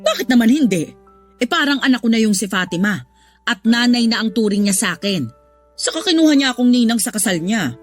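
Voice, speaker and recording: A woman's voice: a little high, lively intonation, a little fast, faint music behind, close to an everyday voice. An actress playing a frank, scolding older mother in a radio drama, with a Filipino accent. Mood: chatty, matter-of-fact. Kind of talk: Tagalog radio drama acting.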